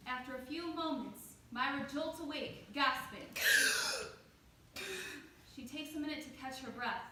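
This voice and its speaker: A woman's voice: high-pitched, measured pace. Female stage-direction reader, scripted narration, heard from afar in a noisy, reverberant room. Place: indoor stage, recorded from a distance. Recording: echoey, noisy room from far off.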